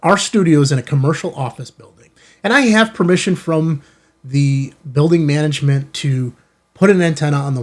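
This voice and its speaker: An American man hosting a ham radio channel, chatting informally and casually to viewers as he shows off gear. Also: medium pitch. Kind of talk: casual product unboxing chat to viewers. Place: indoor studio in an office building.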